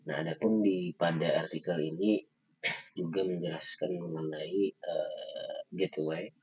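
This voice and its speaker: A young man giving a halting student slide presentation, pausing often on fillers. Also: sits low, fairly even intonation, darkish tone. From Indonesia. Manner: halting, with frequent filler pauses. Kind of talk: slide presentation of an article review. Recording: dull lo-fi microphone sound.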